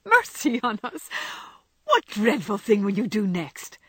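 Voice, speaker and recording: Spirited young storybook heroine, played by a woman narrator, high and swooping, exclamatory, playfully scolding. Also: very animated, normal speed, a bit bright, husky, no echo, studio quality. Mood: shocked.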